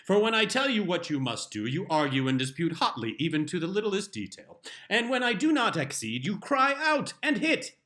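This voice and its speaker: Casting: man to play an exasperated, pompous patriarch for a comic mock-biblical reading; higher-set male voice with sharp, forceful edge, solemn and theatrical. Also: big pitch swings, normal speed, hardly any pauses, heavily acted. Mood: exasperated, thundering. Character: stern exasperated father proclaiming household laws like biblical scripture. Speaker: reader performing a humorous essay. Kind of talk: dramatic comic reading in mock-scriptural language.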